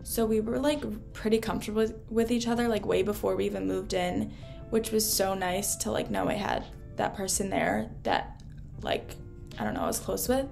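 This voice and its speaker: A woman's voice: medium pitch, expressive, normal speed, creaky, darkish tone, faint music behind. A young woman from New Jersey, a college freshman, giving a casual, thoughtful account of her first semester. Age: young adult. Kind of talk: casual testimonial.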